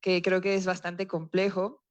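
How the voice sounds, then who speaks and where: Smooth, even-toned woman panelist. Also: fairly low pitch, normal speed, no room sound. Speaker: novelist on a seminar panel. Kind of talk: panel discussion.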